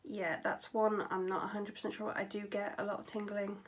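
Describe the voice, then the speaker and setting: A young adult woman talking candidly to camera at home, tired and low on vocal power, her voice often dropping to a breathy near-whisper. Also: flat delivery, fairly quick. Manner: candid, matter-of-fact, with frequent fillers and trailing phrases. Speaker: vlogger. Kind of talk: casual talk to camera.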